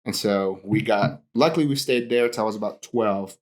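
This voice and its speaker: A man's voice: fairly low pitch, normal speed. A young man from Hawaii, a pastor and podcast guest, telling stories in a casual chat and laughing often.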